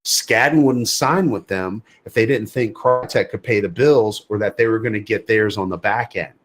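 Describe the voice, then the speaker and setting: A man's voice: lowish voice, normal speed. A man talking as a podcast guest, blunt and emphatic, repeating words for effect. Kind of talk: casual podcast chat.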